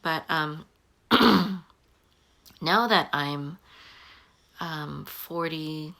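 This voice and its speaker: A woman in her early forties giving casual advice to camera, halting often with ums and restarting her sentences. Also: low-pitched, gravelly, bright, forceful tone. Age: 40s. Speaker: online business coach. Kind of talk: casual talking-head advice.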